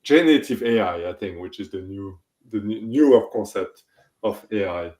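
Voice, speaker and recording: Man, forties, French accent, lower voice, relaxed and thoughtful, echoey room on a call. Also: normal speed. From France. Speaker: guest, technology executive. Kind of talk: casual interview answers. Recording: roomy, echoey call audio.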